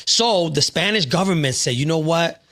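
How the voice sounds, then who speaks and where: A man hosting a laid-back podcast, his voice sitting a little high for a man. He chats loosely and reads articles aloud, tripping over words now and then and dropping "bro" between sentences. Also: expressive, a bit bright. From United States. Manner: casual, says "bro" often, reads haltingly. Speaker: podcast host. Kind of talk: casual chat, reading articles aloud.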